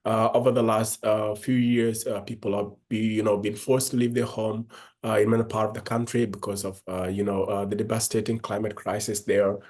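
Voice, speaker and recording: A man from South Sudan giving earnest panel remarks, halting and repeating words as he speaks. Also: fairly low pitch, level tone, darkish tone.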